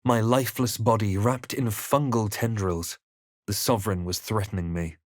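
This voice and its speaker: Brooding male game hero, played by a man, grave storyteller delivery, lowish pitch, close dry sound. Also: fairly even intonation, moderate pace, dry recording, natural voice. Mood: grave, dramatic. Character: male adventurer hero, composed, brooding, recounting his journey. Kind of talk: video game voice lines, dramatic first-person narration.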